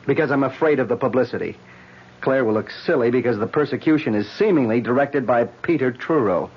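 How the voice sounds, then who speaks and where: An actor playing an insistent, protective man with a husky voice and a firm, earnest manner in a radio drama. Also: medium pitch, a little fast. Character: insistent, protective man confronting trouble head-on. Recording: muffled old radio drama broadcast.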